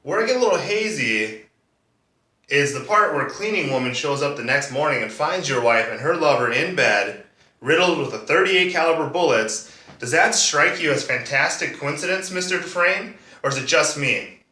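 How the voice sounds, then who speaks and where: A man's voice: a little high, lively intonation, normal speed, sharp tone. A man playing a sardonic, accusing district attorney who questions a witness pointedly in a courtroom monologue. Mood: sarcastic accusing. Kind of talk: monologue performance of a courtroom drama. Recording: roomy echo, distant microphone.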